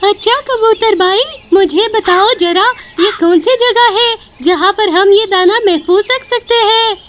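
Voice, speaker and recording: A woman's voice: very high-pitched, measured pace, a little hiss or hum, voice pushed up. A woman voicing an earnest, sensible little female bird in a cartoon story. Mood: curious, agreeable.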